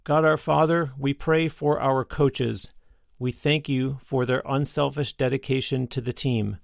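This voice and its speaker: Gravelly-voiced radio host, dry studio sound. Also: male voice, medium pitch, steady tone, unhurried, no echo. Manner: affable, leads prayer. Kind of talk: interview and closing prayer.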